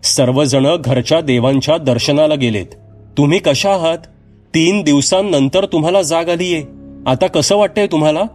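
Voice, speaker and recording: A man plays a deferential, fussing housemaid in a storybook tale. The voice is pitched up and respectful, over background music. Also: normal speed, darkish tone, natural voice. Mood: solicitous. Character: deferential, startled housemaid, solicitous and talkative.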